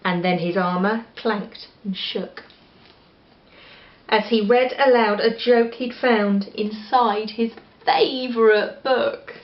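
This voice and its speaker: A woman with an English accent reading a children's story aloud in an expressive style. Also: on the high side, takes it slowly. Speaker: storybook reader. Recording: echoey room, slightly dull sound.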